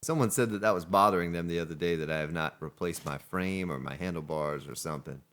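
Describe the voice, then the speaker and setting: A man's voice: low voice, fast, fairly bright tone. A man casually commenting on his gameplay as a let's play host, chatting and joking with the characters on screen. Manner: chatty, jokes at game characters.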